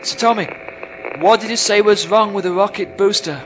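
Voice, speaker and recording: An actor playing a lonely, stranded astronaut fraying on a moon base in a radio drama, emphatic and agitated. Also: male voice, sits high, normal speed, a bit bright, slight background noise, poor audio. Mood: lonely, frustrated. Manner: emphatic, self-addressing, agitated.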